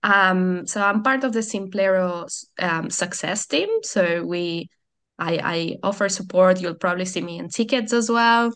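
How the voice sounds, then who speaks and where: A Catalan woman from Barcelona on a video call, hosting a software tutorial webinar and explaining things in a friendly way with frequent ums and restarts. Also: lowish voice, a little slow. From Catalonia, Spain. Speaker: customer support webinar host.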